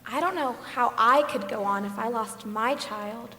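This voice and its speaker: An earnest, troubled mother on a jury, played by a woman on stage, speaks in a high voice. The sound carries a long hall reverb. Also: measured pace, sharp tone. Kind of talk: amateur stage play monologue.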